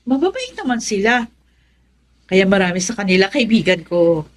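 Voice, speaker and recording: An actress playing a friendly, trusting young wife, gentle and welcoming, in a Tagalog radio drama. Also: female voice, medium pitch, big pitch swings, normal speed, close to an everyday voice. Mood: innocent curiosity.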